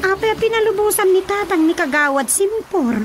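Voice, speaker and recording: A woman's voice: very high voice, expressive, normal speed. A woman with an Ilocano accent playing a curious, easily surprised daughter in a radio drama. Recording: radio drama over clear music bed.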